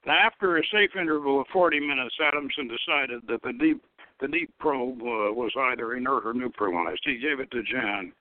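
Man reading a story with swooping pitch. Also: no room sound, narrow, tinny audio. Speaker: show host reading own novel.

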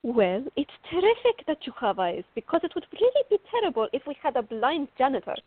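A woman's voice: high voice, big pitch swings, a little fast. A woman playing a fiery, stubborn, teasing young artist in a radio drama, with an emphatic, wry way of contradicting. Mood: stubborn, sarcastic. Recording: thin, phone-like band-limited audio.

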